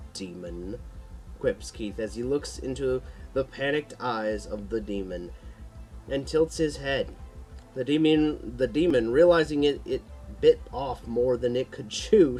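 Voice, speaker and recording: A man narrates an action horror story in an amateur audiobook style. His voice is mid-pitched, with occasional stumbles and repeats, and faint music plays underneath. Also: darkish tone, breathy edge, rough recording. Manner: stumbles and repeats words while reading.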